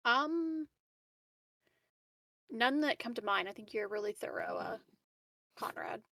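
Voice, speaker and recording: Casting: female tabletop player, casual conversational delivery, fairly high voice, dry recording. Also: animated, slow pace, sharp tone, no echo. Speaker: roleplaying game player. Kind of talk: casual tabletop game chat.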